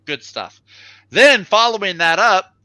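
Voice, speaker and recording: Bright, crisp-voiced man reviewing comics. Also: high-pitched, expressive, unhurried, no room sound, rough recording. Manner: enthusiastic, informal. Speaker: comic book reviewer on a livestream show. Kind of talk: casual unscripted review chat.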